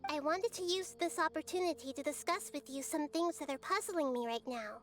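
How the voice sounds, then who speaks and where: Tiny wise little girl goddess for a game, very high voice, kind n curious, music behind. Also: brisk. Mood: friendly, calm. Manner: thoughtful, articulate, gently earnest. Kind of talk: video game voice acting.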